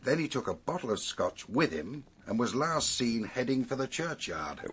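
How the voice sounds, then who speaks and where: An actor playing an authoritative, wry police superintendent in a British radio drama, setting out facts in an orderly, emphatic way with a British accent. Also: male voice, mid-range voice, very animated, fairly bright tone, breathy edge. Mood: grave, measured. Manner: measured, emphatic, expository.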